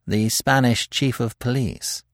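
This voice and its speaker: A man's voice: mid-range voice, fairly even intonation, unhurried, no room sound, studio quality, pitched above the performer's own voice, natural voice. A man voicing a courteous, anxious police commissioner in an audiobook, formal and businesslike.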